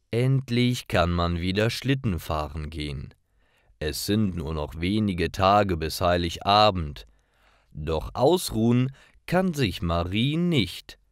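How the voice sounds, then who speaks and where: A man reading scripted stories for language learners in a careful, clearly phrased storytelling manner. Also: sits low, no room sound, clean studio sound. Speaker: narrator of German learner stories.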